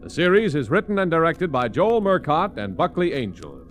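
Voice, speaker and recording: Animated 1940s radio announcer, male. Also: on the high side, normal speed. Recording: vintage radio, faint background noise.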